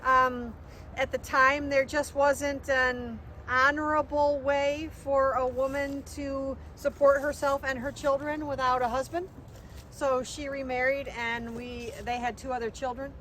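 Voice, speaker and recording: High-voiced, hesitant devout woman reminiscing acted by a woman. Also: level tone, measured pace, fairly bright tone. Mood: reflective. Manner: hesitant, plain, conversational reminiscing. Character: Swedish-born immigrant widow's daughter, devout Lutheran woman looking back. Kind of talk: first-person historical reenactment. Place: outdoors in a cemetery. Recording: outdoor recording, faint vehicle noise.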